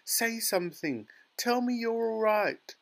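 A storybook mother in a state of alarm, as a man acts her out. The voice is pitched high and wails anxiously, crying out in horror and fretting over her child. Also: animated, unhurried, creaky, exaggerated voice. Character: horrified, shrieking, anxious mother of a boy.

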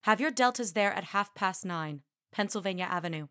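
An actress playing an imperious suffrage leader in a radio drama, formal, clipped and commanding. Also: female voice, mid-range voice, lively intonation, brisk, bright, forceful tone, no room sound, studio quality. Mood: cool, dismissive. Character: imperious, brisk-minded woman suffrage leader.

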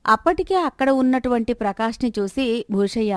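Higher-voiced woman narrating a story. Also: lively intonation, moderate pace, no echo, studio quality.

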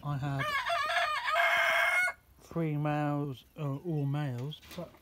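A man talking casually and haltingly in an unscripted vlog as a hobby chicken keeper, outdoors on a phone. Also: a little high, flat delivery. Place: outdoors in a grassy chicken run. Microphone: handheld phone, varying distance. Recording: noisy outdoor phone recording, chickens clucking. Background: loud outdoor noise, clucking and crowing chickens.

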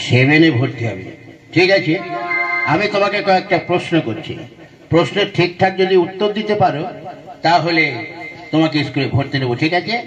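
A man's voice: fairly high pitch, lively intonation, brisk, pauses often, over music, close to an everyday voice. Man playing an exasperated puppet-show schoolmaster, dull sound. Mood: questioning. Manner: questioning, baffled, exclaiming. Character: exasperated village schoolmaster questioning a cheeky pupil. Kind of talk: comic puppet-show dialogue.

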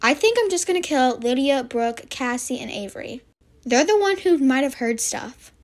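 A woman's voice: high voice, lively intonation, moderate pace, no echo. A woman voicing a bossy, falsely sweet camp counselor with murderous schemes in a roleplay story. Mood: scheming.

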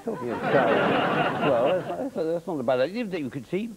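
A man's voice: fairly high pitch, very animated, moderate pace, over a noisy crowd. A man in his fifties with an English accent playing a smug, evasive, unscrupulous businessman in a satirical mock interview, stammering and repeating himself. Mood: dismissive. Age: 50s. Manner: hesitant, stammering, repeating words. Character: smug, evasive middle-aged British businessman, cheerfully unscrupulous. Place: television studio before an audience. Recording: TV studio with background noise.

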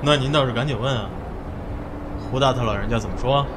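An actor playing a decisive, impatient expedition leader in an audio drama, blunt and commanding. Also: male voice, mid-range voice, fast talker, noisy background, close to an everyday voice. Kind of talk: Chinese audio drama acting.

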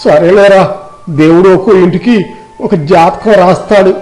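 A man voicing a familiar, concerned fisherman uncle in an animated village moral story. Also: high-pitched, moderate pace, faint music behind, natural voice, poor audio. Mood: consoling.

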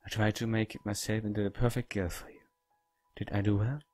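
A man voicing a devoted, obsessive young woman in love, soft and adoring, in a visual novel read-aloud. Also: lowish voice, flat delivery, brisk, faint music behind, lightly acted.

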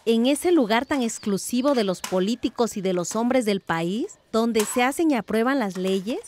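Actress as determined village aunt, radio drama, earnest storytelling, fairly high pitch, slightly noisy recording. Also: female voice, animated, moderate pace, darkish tone, natural voice. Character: thoughtful, determined middle-aged village aunt, a cultural promoter.

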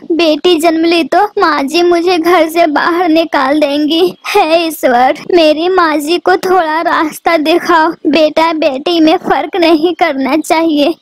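A gentle, anxious young mother bird in a cartoon, acted by a woman. Her voice is very high and pleading, with prayerful appeals. It is recorded close and dry. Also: no room sound. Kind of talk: cartoon voice acting.

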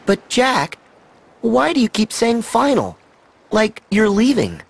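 Eager, slow-paced teenage boy hero. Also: sits high, wildly swooping pitch, creaky, husky, heavily acted. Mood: puzzled. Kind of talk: animated video game cutscene acting.

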